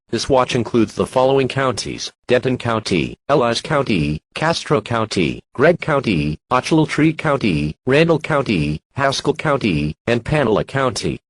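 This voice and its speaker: A man with a husky voice reads an official weather bulletin. The sound is dry and close, and his formal announcer delivery has lively rises and falls in pitch. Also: no room sound, low-quality recording. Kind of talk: scripted emergency weather bulletin.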